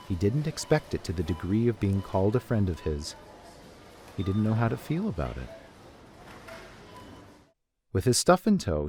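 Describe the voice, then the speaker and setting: A man's voice: lowish voice, mellow, no room sound, clean studio sound. A man reading scripted story narration in the third person as the narrator.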